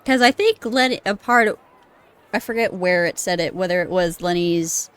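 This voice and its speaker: A woman's voice: lowish voice, normal speed, clear, resonant tone. A woman streaming a game with casual, sweary commentary, musing aloud and poking fun at herself. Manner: sweary, self-deprecating, musing. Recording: game music behind the voice. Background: game music.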